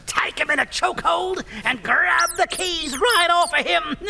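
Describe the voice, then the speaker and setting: A man playing a cheeky, dim old prisoner in an audio drama, stammering and chuckling as he rambles in a creaky, cackling voice. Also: very high voice, slow, sharp tone, exaggerated voice. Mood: chuckling, scheming.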